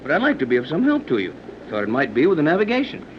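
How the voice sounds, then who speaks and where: An actor playing an affable, practical sea captain in a 1930s radio serial, folksy and easily amazed. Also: male voice, a little high, huge pitch swings, very fast. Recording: noisy, dull 1930s radio recording.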